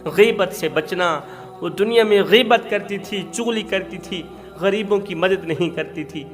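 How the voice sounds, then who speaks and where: A man's voice: sits high, faint music behind. A man preaching a fervent sermon, telling a moral story with repeated emphatic phrases and rising cries. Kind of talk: impassioned Urdu sermon with storytelling.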